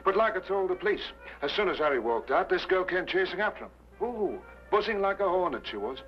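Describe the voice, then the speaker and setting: An actor playing a deferential, gossipy stage doorkeeper who hesitates a little, in an old-time mystery drama. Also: male voice, sits high, sing-song, a little fast, faint music behind. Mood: helpful, gossipy. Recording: dull old radio recording.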